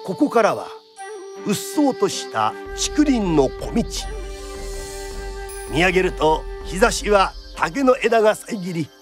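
An actor narrating a period radio drama as a theatrical kodan storyteller, formal and declamatory. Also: male voice, high-pitched. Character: lively traditional storyteller narrating a period tale.

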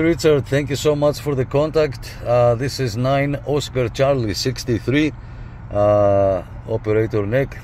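A man's voice: mid-range voice, a little mellow. Male CB operator, casual and conversational, in a vehicle with faint background noise. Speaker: CB radio operator, host. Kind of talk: casual radio chat to camera. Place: inside a parked minivan outdoors. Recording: faint engine and car noise. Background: faint vehicle and outdoor noise.

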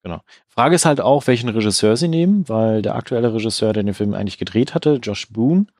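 A man co-hosting a casual film news podcast, speaking in a relaxed, affirming way and recommending titles. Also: lowish voice, a little fast, no echo, studio quality. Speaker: podcast co-host.

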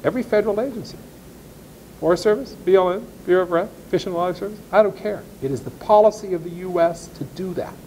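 A man's voice: high-pitched, fast talker, darkish tone, slight background noise, poor audio. A man giving a blunt, sarcastic talk at a farm meeting, reading passages of law aloud and commenting on them. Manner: reads legal text aloud, then comments. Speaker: speaker at an agricultural seminar. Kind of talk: folksy presentation to ranchers.

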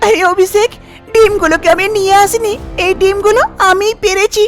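A woman's voice: very high voice, steady tone, fairly quick, soft background music, voice pushed up. A woman voicing an anxious young wife in a cartoon story, exclaiming and pleading. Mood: distressed, pleading.

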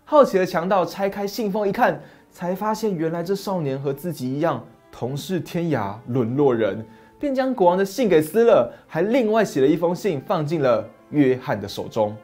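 A middle-aged man narrating a fairy tale as a channel host, with a dramatic, animated manner, on a lapel mic in a small dim study. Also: fairly high pitch, moderate pace, soft background music. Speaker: storyteller host.

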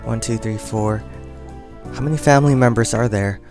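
A man's voice: on the low side, moderate pace, darkish tone, soft background music. A young man casually commenting on his life-simulation game as he plays, thinking aloud and making choices. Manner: chatty, thinking aloud, counting and deciding as he plays. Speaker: gaming channel host. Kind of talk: casual let's-play commentary.

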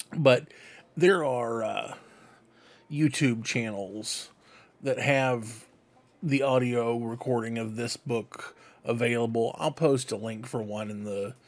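A middle-aged man hosting a fan vlog, giving casual show-and-tell commentary in a rambling, conversational way. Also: mid-range voice. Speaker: host of a fan book club vlog. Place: cluttered room indoors at home. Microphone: desk microphone close in front.

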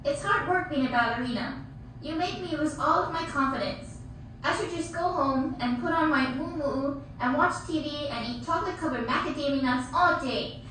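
A woman's voice: sits high, moderate pace, a bit bright. A woman voicing a sensitive, dramatic young ballerina girl in an amateur puppet show. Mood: upset. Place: theater, camera far from puppet stage. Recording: distant echoey theater recording, faint noise.

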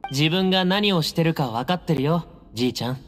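A man's voice: on the high side, expressive, brisk, close to an everyday voice. An actor playing an easygoing, self-assured young male duelist in an anime-style game, with casual speech. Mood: confident. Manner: casual, breezy. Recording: hall reverb, faint music behind.

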